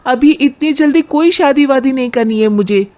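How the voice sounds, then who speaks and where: A woman's voice: high-pitched, moderate pace, a little mellow, pitched above the performer's own voice, close to an everyday voice. A woman voicing a young, educated, reluctant bride-to-be who protests plainly, in a cartoon family drama. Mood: protesting.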